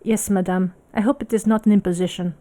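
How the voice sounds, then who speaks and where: A woman voicing a kindly, courteous young man with a French accent in an audiobook, speaking politely and very clearly. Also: medium pitch, fairly even intonation, quick pace, warm tone, natural voice.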